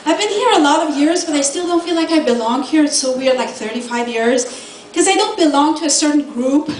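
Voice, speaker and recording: High-voiced woman doing stand-up, echoey club. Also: animated, a little fast, far from the mic. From Iran. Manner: sassy, laughing at her own jokes. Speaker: stand-up comedian. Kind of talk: stand-up comedy set. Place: comedy club stage. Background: audience laughter.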